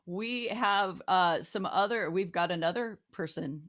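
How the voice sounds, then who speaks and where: A woman's voice: on the low side, a little slow, fairly bright tone. A woman hosting a talk show in casual, playful chat.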